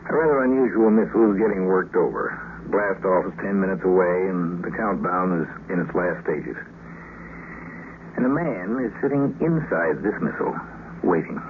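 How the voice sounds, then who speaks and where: A man's voice: sits low, expressive, moderate pace. A man narrating a scripted 1950s radio science fiction program as its host. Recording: tinny, noisy 1950s radio recording.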